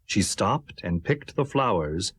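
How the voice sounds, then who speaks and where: Husky man telling a children's story slowly. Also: lowish voice, sing-song, fairly bright tone, dry recording. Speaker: narrator. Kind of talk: rhythmic scripted children's storytelling. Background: faint music.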